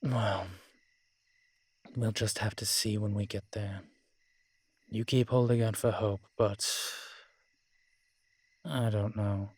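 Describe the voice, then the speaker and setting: A man's voice: fairly low pitch, fairly even intonation, takes it slowly, long pauses, darkish tone, husky, no echo. A man playing an ancient, proud and world-weary male vampire in an audio roleplay, talking in a wry, haughty way with old-fashioned fancy words. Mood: musing, distracted. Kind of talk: audio roleplay drama, one-sided dialogue.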